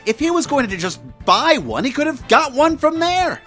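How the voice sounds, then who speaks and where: A woman's voice: sits high, sing-song, moderate pace, a bit bright, faint music behind. A woman playing an earnest, easily flustered young villager who protests indignantly in an amateur radio play. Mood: objecting.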